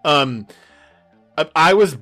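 Animated, sweary man chatting casually. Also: a little high, slow, faint music behind. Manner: profane, rambling, excitable. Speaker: podcast host. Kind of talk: casual chat.

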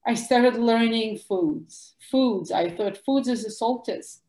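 A woman with a Russian accent, a guest doctor answering interview questions in an animated, emphatic way. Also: on the high side, slow. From former Soviet Union. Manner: animated, emphatic, bursts of louder words. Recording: echoey room.

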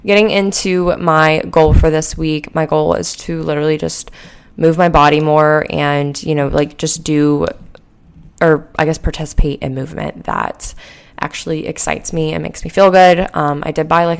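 A young woman in her twenties hosting a casual solo podcast, hoarse and sleepy after a late night, rambling with lots of "like" and "um". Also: low voice, little pitch movement, moderate pace. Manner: rambling, heavy use of "like" and "um". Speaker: podcast host.

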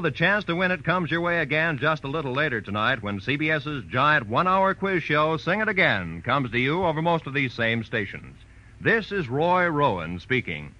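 A male announcer reads in crisp, formal 1940s radio fashion. His fairly high voice is sharp and very animated. The old broadcast is muffled, with faint music. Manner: polished, punchy, formal.

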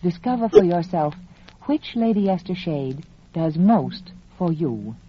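A woman's voice: fairly low pitch, lively intonation, unhurried. A woman reading a scripted 1940s radio cosmetics advertisement in a confiding, persuasive, emphatic manner. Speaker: cosmetics spokeswoman. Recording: muffled, faintly noisy vintage radio recording.

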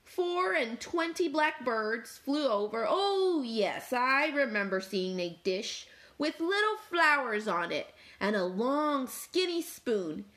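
A woman's voice: high voice, very animated, takes it slowly, fairly bright tone, voice pushed up, full character voice. A woman voicing a rambling, chatty talking fork in a picture book.

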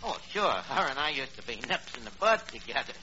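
An actor playing a cocky, blustering tavern manager with a New York accent, mangling big words with pompous confidence in a radio comedy. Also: male voice, medium pitch, big pitch swings, a little fast, pushed and bright. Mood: breezy, boastful. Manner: malapropisms delivered with pompous confidence. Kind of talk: 1940s radio comedy acting. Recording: dull, noisy old radio recording.

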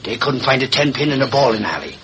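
A man's voice: medium pitch, big pitch swings, fast talker, sharp tone. An actor playing a blustering, bumbling ex-policeman turned reporter in a 1940s radio drama, with folksy, slangy speech. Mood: cocky, blustering. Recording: very noisy old radio transcription.

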